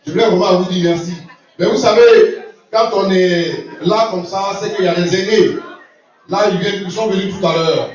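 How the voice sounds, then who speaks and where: A man's voice: high-pitched, normal speed, a little mellow. A man giving a jovial, laughing speech as sponsor at a community gala, often repeating himself. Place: large event hall. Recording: roomy, distant, lo-fi recording with crowd. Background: crowd of guests.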